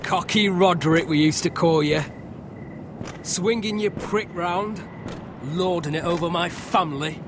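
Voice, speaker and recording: An actor playing a cocky, bullying young noble commander in a fantasy game, with a taunting, swaggering manner. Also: male voice, high-pitched, a little slow. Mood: mocking. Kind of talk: dramatic video game acting. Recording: clear background noise.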